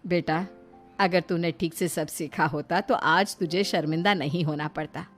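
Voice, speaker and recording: A woman's voice: on the low side, steady tone, moderate pace, faint music behind, pitched below the performer's own voice, lightly acted. A woman voicing an older village mother-in-law in a cartoon story, wry, emphatic and given to teasing and admonishing. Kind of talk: Hindi cartoon story character acting.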